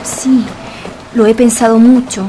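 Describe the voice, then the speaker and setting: A woman's voice: a little high, level tone, measured pace, noisy background, lightly acted. A woman playing a wary, secretive informant in a radio drama, cautious and grave, with formal wording. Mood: grave, troubled. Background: background music.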